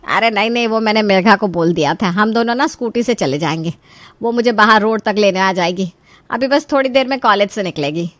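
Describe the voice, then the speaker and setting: A woman voicing a caring but fussy middle-aged mother-in-law in an animated story, chatty, fretful and motherly. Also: medium pitch, fairly quick, no room sound, natural voice. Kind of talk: Hindi animated story voice acting.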